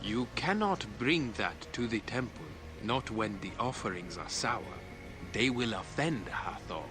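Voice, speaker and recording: An actor playing a stern, cryptic temple guardian vision in a video game, speaking solemnly. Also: male voice, medium pitch, animated, unhurried, over music, close to an everyday voice. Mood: solemn warning.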